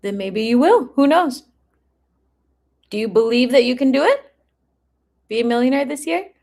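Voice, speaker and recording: A woman's voice: high voice, lively intonation, moderate pace, darkish tone. A woman chatting casually and informally with viewers as she hosts a live tarot reading. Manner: chatty, informal, often breaking into giggles. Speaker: tarot reader hosting a livestream. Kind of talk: casual livestream chat with viewers.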